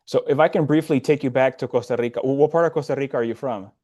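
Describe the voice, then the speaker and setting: A man's voice: mid-range voice, racing, darkish tone, lo-fi sound. A man from Nicaragua, raised in the D.C. area, hosts a talk show in a mid-range voice. He asks friendly, curious questions and keeps the chat casual. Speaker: host. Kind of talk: casual interview.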